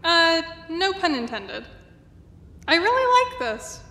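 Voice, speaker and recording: An actress playing a sassy, teasing imaginary muse in an audio drama, with an echoing effect on the recording. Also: female voice, very high voice, big pitch swings, slow, pushed and bright, heavily acted. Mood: sassy, cheeky. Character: cheeky female muse, an imaginary fictional character. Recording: reverberant, echoing hall effect.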